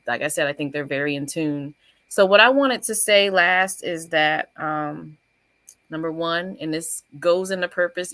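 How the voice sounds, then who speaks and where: A woman teacher appearing as a guest, speaking in a warm, encouraging way about faith. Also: deep voice, dry recording. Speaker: guest, first-grade teacher. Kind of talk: casual chat.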